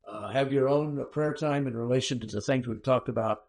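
A man giving a recorded Bible lecture in a measured, emphatic teaching manner with rhetorical questions, his voice slightly hoarse. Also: medium pitch, a little mellow. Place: small room, not a classroom.

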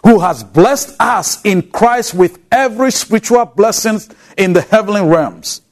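A man's voice: high voice, expressive, measured pace. A man with an African accent preaching an expository sermon, emphatic and repeating key words for weight.

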